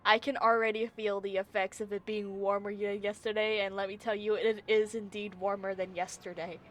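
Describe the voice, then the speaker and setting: A woman's voice: medium pitch, little pitch movement, a little fast. A woman livestreaming a game with casual commentary, wry and given to exaggerated reactions. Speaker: live streamer playing a game.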